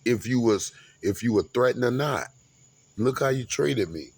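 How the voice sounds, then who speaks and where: A man's voice: medium pitch, lively intonation, normal speed, husky, dry recording. A man in his forties talking in a rambling, grandiose monologue, often repeating and restarting his words. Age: 40s. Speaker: vlogger.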